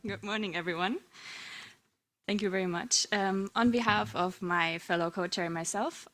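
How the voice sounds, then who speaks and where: A woman's voice: medium pitch, a bit bright. Woman chairing a formal board meeting. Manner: measured, formal, reads prepared remarks.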